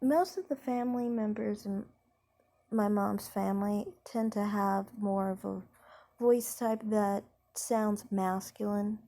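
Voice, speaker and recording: A woman's voice: medium pitch, steady tone, mellow. A young woman who sings, talking casually about her voice type in a rambling, hesitant way with many fillers. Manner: speaks slowly. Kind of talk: casual vlog chat.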